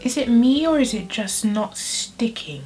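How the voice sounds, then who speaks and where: A young woman narrates her makeup tutorial in a chatty, casual way, sprinkling "like" through her sentences. Her voice sounds blocked up and nasal from a lingering cold. Faint music plays softly underneath. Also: on the high side, lively intonation, measured pace. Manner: chatty, uses "like" often. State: congested, blocked nose. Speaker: beauty vlogger.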